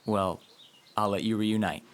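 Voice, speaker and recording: A man playing a gentle, absent-minded pet owner who chats softly to himself in an animated short. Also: fairly low pitch, a little slow, close to an everyday voice. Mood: soothing. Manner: soft-hearted, chatting to himself. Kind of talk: animated short film voice acting. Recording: music behind the voice.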